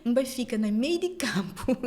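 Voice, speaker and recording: A woman from France with a Cape Verdean accent, a journalist telling her story as a podcast guest in lively conversation. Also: a little high, a loud crowd. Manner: animated storytelling, quick laughs. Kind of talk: casual interview conversation.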